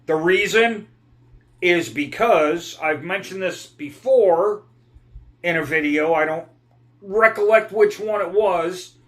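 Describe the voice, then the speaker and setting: A middle-aged man giving a guitar lesson in a rambling, digressive way, often clearing his throat. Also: high voice, slow pace, fairly bright tone. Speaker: guitar teacher. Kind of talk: casual guitar lesson talk.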